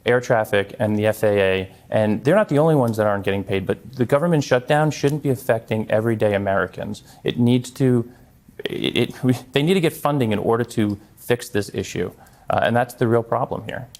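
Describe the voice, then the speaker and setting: A man's voice: medium pitch, a little fast, a little mellow. A man speaking as a guest in a television news interview, earnest and given to repeating his phrases. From Long Island, New York. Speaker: interview guest, congressional candidate and former air traffic controller.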